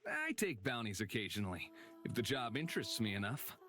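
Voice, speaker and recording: A man's voice: mid-range voice, big pitch swings, fairly quick, bright, forceful tone, faint music behind. A man voicing a smug, flippant bounty hunter in a visual novel, sardonic and playful with a drawl. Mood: amused, casual.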